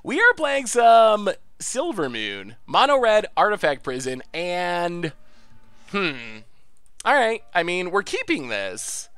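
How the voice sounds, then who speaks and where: High, lively, slightly congested man. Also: slow pace, smooth, no echo. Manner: excitable, laughs often, cheers at wins. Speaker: card game streamer. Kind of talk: casual live-stream gameplay commentary.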